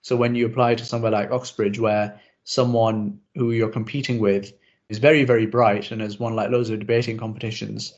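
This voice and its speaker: A man's voice: lowish voice, level tone. Chatty young man, slightly muffled audio. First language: Urdu. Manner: chatty, self-deprecating, quick asides. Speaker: podcast host. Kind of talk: casual interview chat.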